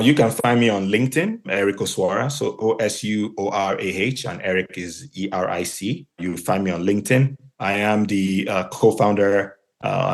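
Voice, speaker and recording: A man's voice: on the low side, creaky. A man from Nigeria whose first language is Igbo, speaking as a podcast guest, thoughtful and full of fillers and restarts. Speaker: guest, marketplace co-founder and CEO. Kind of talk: podcast interview answer.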